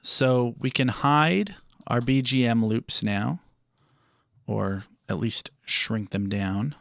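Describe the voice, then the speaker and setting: A man narrates a step-by-step software tutorial. His voice sits at an average male pitch and he explains things in a measured manner. The recording is close and dry, with hardly any room sound. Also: vocal fry, dry recording. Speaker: audio tutorial presenter.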